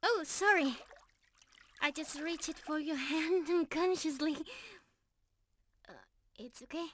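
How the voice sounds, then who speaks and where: A woman's voice: sits high, slow, a bit bright, no echo. A woman playing a sweet, bashful young mermaid in an intimate ASMR roleplay, affectionate and girlish, easily flustered and teasing. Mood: tender, shy.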